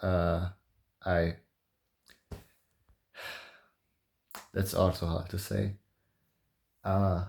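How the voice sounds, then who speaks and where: A man in his early twenties speaking softly and calmly in a halting, hesitant way, thinking aloud in a personal confessional vlog. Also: low voice, gravelly. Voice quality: soft voice. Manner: calm, halting, hesitant with long pauses. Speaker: vlogger.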